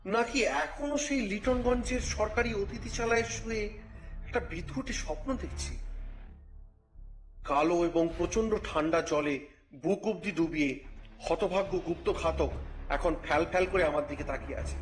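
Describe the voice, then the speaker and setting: A man narrating a story in the first person, with expressive, theatrical phrasing and a scripted dramatic style. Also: sits high, normal speed. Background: music.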